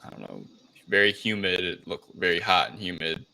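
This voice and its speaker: A man with a very deep voice and a gravelly fry, chatting casually as he recaps a film. His tone has a bright, forceful edge. He hosts loosely, feeling his way through the story in halting phrases. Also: big pitch swings, poor audio. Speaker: podcast host recapping a film.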